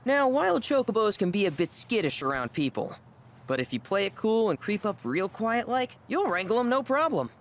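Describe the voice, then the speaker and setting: A cocky young ranch boy in a video game, with a Southern American twang. He haggles in a folksy, businesslike way, his pitch bouncing around. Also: big pitch swings, normal speed, slight background noise. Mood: instructive. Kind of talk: video game cutscene acting.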